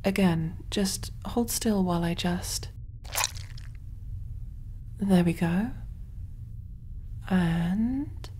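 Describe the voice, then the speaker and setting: Slow, soft-spoken alien woman surgeon, acted by a woman. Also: mid-range voice, slight background noise. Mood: calm, focused. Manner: gentle, measured, reassuring. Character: kind, clinical alien woman surgeon, soothing and curious. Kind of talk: ASMR roleplay, soft-spoken with whispering.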